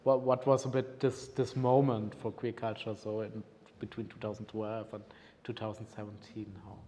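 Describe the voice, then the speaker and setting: A man's voice: on the low side, level tone, moderate pace, mellow, big-room sound. A man interviewing a filmmaker, asking hesitant questions that he rephrases as he goes.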